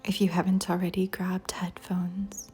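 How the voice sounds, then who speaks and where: Female meditation narrator: low-leaning, near-whispered and soothing, unhurried with long pauses, faint music underneath. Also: level tone. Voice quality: soft, breathy near-whisper. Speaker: meditation guide. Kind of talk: guided meditation. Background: soft music.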